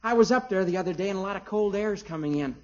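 An actor playing an earnest, politely nagging young son-in-law, deferential and hesitant, in 1950s radio comedy style. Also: male voice, high voice, fast, noisy background, natural voice. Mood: deferential, hinting. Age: young adult. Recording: muffled old radio recording.

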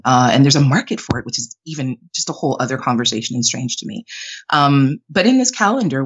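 A middle-aged woman born in Jamaica and raised in Canada, a guest talking earnestly in a casual interview with frequent fillers. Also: sits low.

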